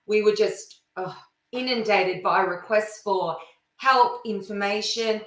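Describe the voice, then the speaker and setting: A woman's voice: mid-range voice, slow, a bit bright. A woman speaking as a guest on a video call, talking enthusiastically about her advocacy group's services. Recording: echoey room.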